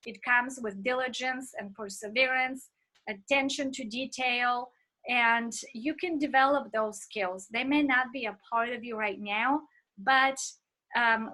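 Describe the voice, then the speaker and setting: Woman with an accent, middle-aged, bright tone, slightly high voice, earnest lecturing. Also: rough recording. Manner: speaks with an accent; earnest, personal anecdotes, frequent fillers. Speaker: ministry instructor. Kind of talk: recorded online class lecture.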